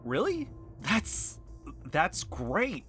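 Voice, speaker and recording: A man playing an earnest, excitable, principled podcast investigator in naturalistic audio drama. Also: high voice, drawn-out words, faint music behind, full character voice. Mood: eager, earnest. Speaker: podcast host.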